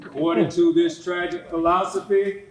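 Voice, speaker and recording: A man's voice: high-pitched, level tone, moderate pace, slight background noise. A man delivering an oratorical sermon, emphatic and built on rhetorical repetition, as a preacher to a congregation. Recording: echoey hall with responding congregation. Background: congregation calling out responses.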